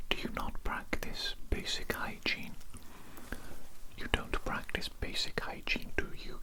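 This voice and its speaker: A man voicing a calmly menacing, callous evil doctor in an ASMR roleplay, whispering slowly and deliberately with soft tag questions. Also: natural voice. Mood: disdainful. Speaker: ASMR performer.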